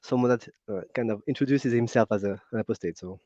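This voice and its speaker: A man's voice: medium pitch, quick pace, warm tone, dry recording. A man with a French accent, calling in to a stream and talking casually, with many fillers and restarts. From France. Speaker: caller. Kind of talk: casual call-in conversation. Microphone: video call. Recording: muffled lo-fi call audio.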